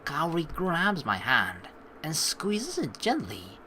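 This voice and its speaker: Low-pitched, animated young woman gamer. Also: slow pace, slight background noise. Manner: rambling, colloquial, playfully expressive. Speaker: let's play host. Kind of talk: casual game commentary mixed with dramatic read-aloud narration. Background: faint game music.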